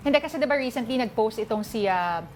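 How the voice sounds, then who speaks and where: A woman's voice: fairly high pitch, animated, quick pace, soft background music. A woman with a Filipino accent co-hosting a news talk show, reading reports and commenting on them casually. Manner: conversational, switches between reading items and commenting. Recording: clearly noisy background ambience.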